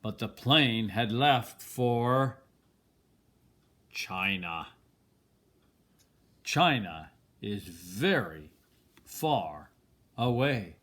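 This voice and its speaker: An older man reading a children's picture book aloud, expressively and deliberately, with dramatic pauses. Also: on the low side, expressive, a bit bright. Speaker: storybook reader.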